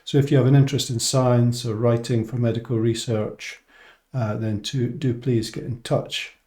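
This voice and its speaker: A Scottish man speaks as a teacher and scientist, explaining things informally to his viewers. His voice is dark and relaxed, with no hard edge to it. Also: mid-range voice, normal speed. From Scotland. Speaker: English teacher and medical research scientist.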